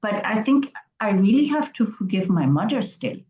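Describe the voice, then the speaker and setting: A woman's voice: mid-range voice, big pitch swings, moderate pace. Hesitant woman on a roomy, dull video call. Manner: hesitant, reflective, restarts words. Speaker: class participant.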